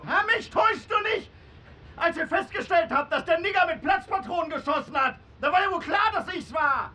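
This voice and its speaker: An actor playing a cocky, threatening young gangster who barks taunts and orders in a crime radio drama. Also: male voice, extremely high pitch, brisk, pushed and bright. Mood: aggressive, taunting. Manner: taunting, aggressive, barking orders.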